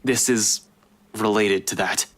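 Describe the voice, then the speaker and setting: A woman voicing a tense, curt young man in a visual novel, with a husky, lowered, clipped voice. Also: fairly even intonation, slow pace, full character voice. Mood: curt, urgent.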